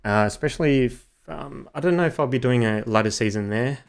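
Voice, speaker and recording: A young male gaming streamer talks to his live chat in a loose, rambling way, full of ums and uhs. His voice sits at a middling pitch with a smooth, resonant core, though it runs a little hoarse. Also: fairly quick. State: slightly hoarse.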